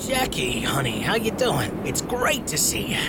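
A man's voice: high voice, big pitch swings, moderate pace, very noisy. An actor playing a brash, smarmy personal trainer and spokesman in an audio drama, with glib, over-friendly, chuckling patter. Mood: smarmy sympathy.

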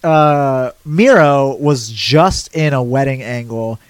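A man co-hosting a casual podcast chat, swearing and laughing often, with a drawn-out delivery. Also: medium pitch, dry recording, studio quality. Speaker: podcast co-host.

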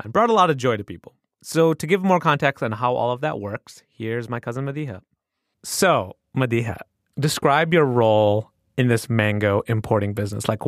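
A man hosting a podcast, telling stories in an animated way and laughing often. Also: mid-range voice, dry recording, clean studio sound. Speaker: podcast host. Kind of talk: casual interview chat.

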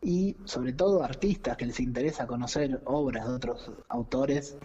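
A man's voice: mid-range voice, normal speed, mellow, a little hiss or hum. A man with an Argentine accent giving conversational commentary as a podcast co-host. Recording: dull, lo-fi voice audio.

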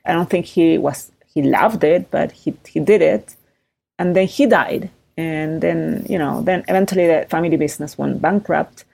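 A woman with a Chilean accent speaks in a low, gravelly voice. She is a founder talking in an interview, lively and full of fillers and rising tags. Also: normal speed. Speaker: startup founder. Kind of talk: interview conversation.

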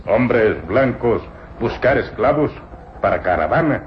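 A man playing a slow, halting, humble servant. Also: mid-range voice, expressive, close to an everyday voice. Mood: hesitant, dutiful. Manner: halting, servile, broken infinitive-only phrasing. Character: humble, fearful, loyal male Ethiopian slave. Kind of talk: old radio serial acting. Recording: muffled, noisy old radio drama recording.

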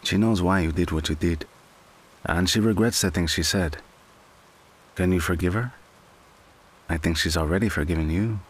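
An actor playing a gentle male friend who soothes a grieving widow in a patient, comforting way. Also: low-pitched, normal speed, long pauses, breathy edge, low-quality recording. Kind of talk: dramatic dialogue.

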